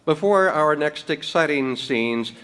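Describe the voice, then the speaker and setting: A man's voice: a little high, lively intonation, measured pace, a bit bright, clean tone. A man narrating in the scripted style of an old-time radio drama announcer.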